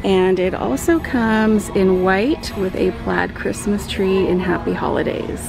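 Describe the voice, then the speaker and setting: A woman vlogger walking a store aisle with her phone, calmly listing decor items and their prices. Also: medium pitch, a little slow, gravelly, over music. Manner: calm, listing prices item by item. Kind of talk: shop-with-me narration. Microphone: handheld phone camera.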